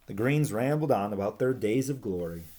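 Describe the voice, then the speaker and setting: A man's voice: fairly low pitch, lively intonation, moderate pace, dark tone. A man narrating a children's picture book expressively as a read-aloud storyteller.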